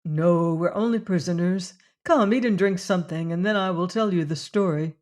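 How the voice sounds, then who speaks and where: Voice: medium pitch, normal speed, lightly acted. Narrator doing a stern young hero. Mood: calm. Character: stern young man, proud, quick to anger. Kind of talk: audiobook character voice.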